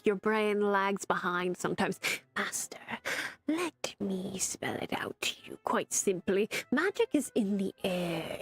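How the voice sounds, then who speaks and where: A woman voicing a haughty, sarcastic male talking spellbook, with pompous, drawn-out mockery over faint music. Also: mid-range voice, breathy edge, no room sound, heavily acted.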